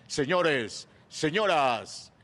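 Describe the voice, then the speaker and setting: A man's voice: on the high side, animated, very slow, very noisy. A man giving a grand, theatrical stage introduction as an announcer, declamatory and drawing his words out. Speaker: show announcer.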